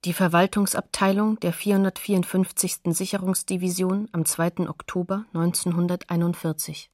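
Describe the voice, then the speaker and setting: Lowish-voiced, factual woman announcer. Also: flat delivery, a little mellow, no echo, studio quality. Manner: factual, measured. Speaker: announcer of sources in radio play. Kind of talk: scripted documentary narration.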